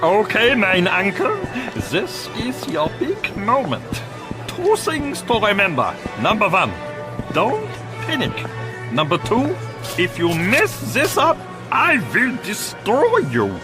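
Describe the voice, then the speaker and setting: A bossy little alien villain, slow and menacing, big echoey hall with music behind. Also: medium pitch, wildly swooping pitch. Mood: threatening. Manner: imperious, threatening, mixes in German words. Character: bossy menacing alien villain child, grandson of a queen, mock-German. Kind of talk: full-cast audio drama acting.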